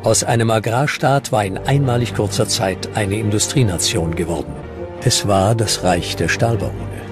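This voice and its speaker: A man's voice: fairly low pitch, moderate pace. A man reading scripted narration for a historical documentary. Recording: music bed under the voice. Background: background music.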